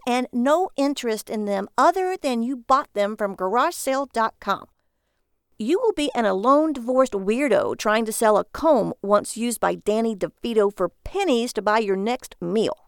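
A woman's voice: a little high, vocal fry, smooth, no echo, studio quality, pitched above the performer's own voice. A woman voicing an irritated, sarcastic, weary wife in a story, with an exasperated, scolding delivery.

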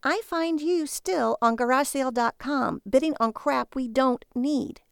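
A woman's voice: a little high, expressive, moderate pace, vocal fry, clear, resonant tone, no room sound, clean studio sound, voice pushed up. A woman voicing an irritated, sarcastic, weary wife in a story, with an exasperated, scolding delivery. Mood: exasperated, nagging.

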